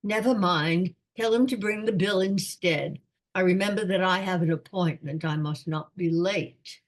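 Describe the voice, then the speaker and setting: A woman's voice: lowish voice, normal speed, natural voice. A woman playing a doddering, absent-minded elderly lord in a radio comedy, with a pompous, rambling manner. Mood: vague, polite. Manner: pompous, rambling aristocratic musing. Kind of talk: radio play comedy acting.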